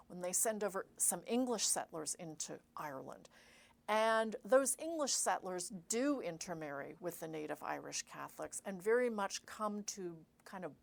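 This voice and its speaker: A middle-aged woman historian answering interview questions in a measured way, pausing with fillers and correcting herself as she explains. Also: lowish voice, gravelly. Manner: measured, thinks aloud with fillers and self-corrections. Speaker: historian guest.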